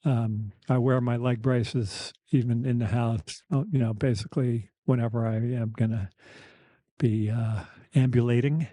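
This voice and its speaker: Mature male podcast host, mellow soft voice, slightly weak and lisping, halting candid delivery, dry close sound. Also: medium pitch, fairly even intonation, dry recording. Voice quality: slight lisp, thick-tongued articulation. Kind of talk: reflective personal conversation.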